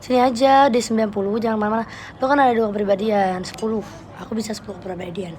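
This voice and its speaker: A young woman with a Jakarta Betawi slang accent chatting casually with viewers on a live stream, playful and quick to laugh. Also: medium pitch, fairly even intonation, a little mellow, slight background noise. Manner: chatty, playful, laughs often, switches registers.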